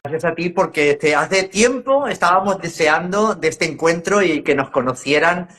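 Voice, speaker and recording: A man's voice: a little high, animated, moderate pace, fairly bright tone. Guest: man, 50s, from central Spain, reflective and easygoing, chatty over a video call. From La Mancha, Spain. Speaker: guest, educator and trainer. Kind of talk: casual interview conversation.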